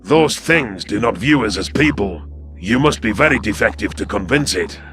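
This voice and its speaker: A man voicing a scrawny, wary alien predator soldier in an audio drama, gravelly, deferential yet cold and terse. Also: lowish voice, lively intonation, normal speed, fairly bright tone, voice pushed up. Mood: cold, contemptuous. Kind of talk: audio drama character acting. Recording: music behind the voice.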